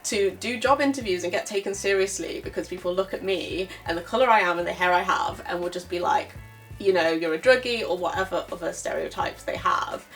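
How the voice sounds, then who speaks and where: This is a woman on a discussion panel. She gives her opinions informally and candidly, explaining as she goes. She is picked up from a little way off, with music playing softly underneath. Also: on the low side, brisk. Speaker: panel speaker answering audience questions. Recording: distant mic, music underneath.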